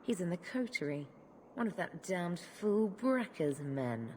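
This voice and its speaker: A deep-voiced, cynical enforcer. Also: female voice, very animated, unhurried, darkish tone, a little hiss or hum. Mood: blunt, impatient. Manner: sardonic, blunt, threatening. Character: tough, cynical female criminal enforcer, coolly menacing. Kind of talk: video game cutscene acting.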